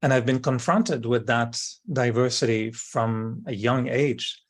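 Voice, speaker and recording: A middle-aged man from France with a French accent, a guest talking thoughtfully and often pausing to search for words. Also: medium pitch, steady tone. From France (Brittany). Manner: thoughtful, searching for words, many fillers and restarts. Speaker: interview guest. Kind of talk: reflective conversation.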